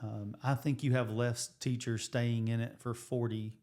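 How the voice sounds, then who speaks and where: An American man in his late forties, co-hosting a relaxed podcast chat. He rambles through figures and plans, circling back and restarting his sentences as he goes, at an average pitch. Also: a little slow. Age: 40s. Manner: rambling, restarts, thinks out loud with numbers. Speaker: podcast co-host, high school coach and teacher. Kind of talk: casual chat.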